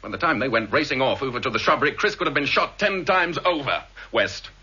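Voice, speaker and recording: An actor in a vintage radio drama playing an agitated husband with an urgent, heated manner. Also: male voice, mid-range voice, expressive, fast, bright, forceful tone, breathy edge, full character voice. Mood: angry, indignant. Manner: urgent, accusatory, emotionally heated. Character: agitated husband of a targeted woman, angry and fearful. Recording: muffled vintage radio recording, faint hiss.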